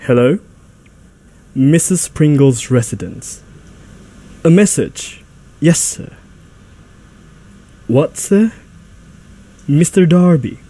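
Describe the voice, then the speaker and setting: Household butler played by a man, formal and obedient, mellow mid-pitched voice, unhurried delivery, for a radio play. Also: animated. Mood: formal, dutiful. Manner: polite, formal, measured. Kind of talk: stage-like radio drama acting.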